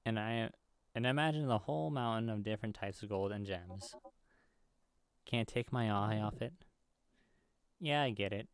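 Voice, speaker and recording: A man's voice: fairly low pitch, normal speed, long pauses, clear, resonant tone, dry recording, clean studio sound. A man hosting a gaming video, reading visual novel dialogue aloud and now and then stumbling over the words.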